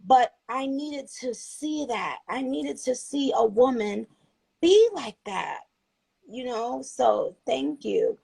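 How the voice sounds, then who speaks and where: A woman in her thirties from Trinidad chats on a phone livestream, earnest and rambling, her thoughts trailing into restarts. Her voice sits fairly high but has a rough, husky grain with plenty of fry. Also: animated, slow, a little mellow. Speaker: livestream host. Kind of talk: casual chat on a livestream.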